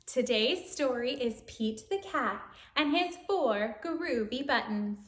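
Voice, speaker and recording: Female children's read-aloud narrator, very unhurried, playful and exaggerated, sing-song delivery, recorded in an echoey room. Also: high voice. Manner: animated, playful, exaggerated exclamations and questions to young listeners. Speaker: storyteller reading a picture book to children.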